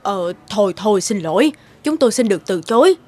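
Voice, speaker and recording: A woman voicing an anxious, overprotective middle-aged mother in an animated moral tale, with a fretful, earnest manner. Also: medium pitch, a little fast, natural voice. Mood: coaxing.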